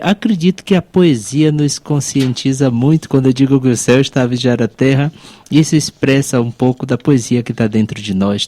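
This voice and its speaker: A man's voice: mid-range voice, warm tone, no room sound. A man with a Brazilian accent speaking as a priest and podcast host, explaining things reflectively in a conversational way. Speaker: priest, podcast co-host and university chaplaincy advisor.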